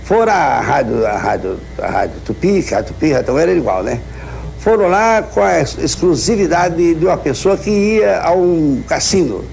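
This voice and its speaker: A man's voice: on the high side, very animated, moderate pace, vocal fry, a little mellow, faint music behind. A man casually telling an anecdote, stammering over words as he searches for them.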